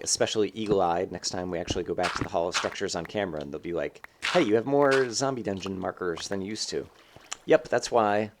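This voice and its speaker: A man's voice: sits low, a little hiss or hum. A man hosting a game let's play, thinking aloud in casual, rambling commentary full of fillers, sounding slightly stuffed up.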